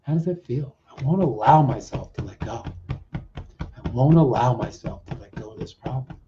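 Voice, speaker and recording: A man working as a coach, leading a guided self-help exercise in short, deliberate, repeated phrases with pauses between them. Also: medium pitch, animated, noisy background. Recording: dull, muffled lo-fi audio.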